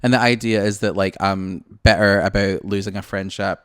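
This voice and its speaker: Scottish man, low voice, rambling and joking. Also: steady tone, moderate pace, a bit bright, clean tone, dry recording, clean studio sound. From Scotland. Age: late 20s. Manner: rambling, joking, self-deprecating. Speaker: podcast co-host. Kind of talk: casual chat.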